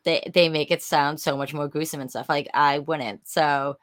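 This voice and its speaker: A woman with a raspy voice, chatting casually as a co-host and peppering her talk with "like". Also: deep voice, steady tone, moderate pace, fairly bright tone. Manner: chatty, lots of "like" fillers and laughs. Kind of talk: casual chat.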